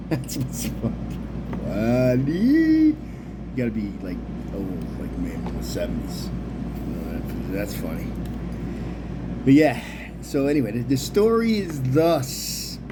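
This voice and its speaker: A man's voice: mid-range voice, slow, long pauses. A middle-aged man with an American accent telling a casual, rambling story in loose, slangy, sweary talk. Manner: animated slang-heavy talk with frequent swearing. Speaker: storyteller, video host. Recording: clearly noisy background hum.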